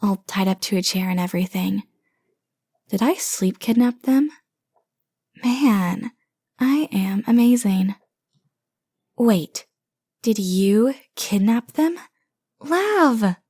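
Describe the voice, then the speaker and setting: A woman's voice: fairly high pitch, expressive, takes it slowly, long pauses, warm tone, husky, dry recording, exaggerated voice. Woman playing a dramatic, obsessive yandere sister, dry studio sound. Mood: casual, puzzled. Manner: theatrical, indignant, rambling, boastful. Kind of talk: comedic audio roleplay.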